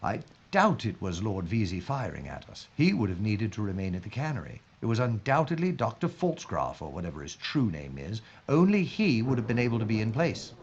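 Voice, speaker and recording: A man plays an earnest wartime inspector, formal and deductive, with a very mobile, animated pitch. The sound is dull and slightly noisy, in an audio drama style. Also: a little fast, hardly any pauses. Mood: deducing. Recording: dull lo-fi sound, faint noise.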